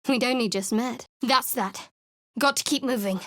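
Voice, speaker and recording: A woman's voice: a little high, normal speed, a bit bright, no room sound, lightly acted. A woman voicing a guarded, sardonic young cleric adventurer in a video game, speaking dryly and warily with a cutting edge. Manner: dry, wary, cutting.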